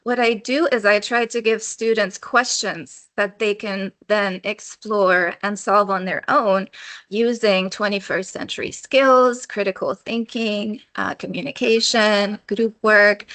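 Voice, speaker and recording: Woman educator from Lebanon, bright tone, dry close sound, animated interview answers. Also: medium pitch, no echo. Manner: animated, acts out students' voices. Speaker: educator and doctoral researcher.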